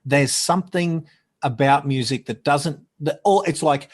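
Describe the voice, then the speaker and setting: A loud, animated man with a slightly high voice, telling stories in a casual chat. He rambles and corrects himself often, and his voice rises and falls a lot. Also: measured pace. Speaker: podcast guest.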